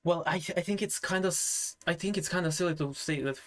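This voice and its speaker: A man's voice: high voice, a little fast, fairly bright tone. A man from Croatia hosting a casual debate chat, hesitant and prone to stammering restarts as he argues his view. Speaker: channel host, debater.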